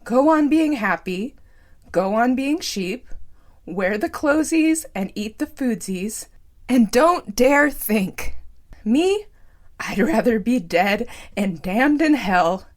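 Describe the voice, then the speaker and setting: A woman voicing a bitter, anguished young male TV clown in a story, ranting with loud, sardonic defiance. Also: on the high side, lively intonation, takes it slowly, pitched above the performer's own voice. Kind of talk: acted audiobook storytelling.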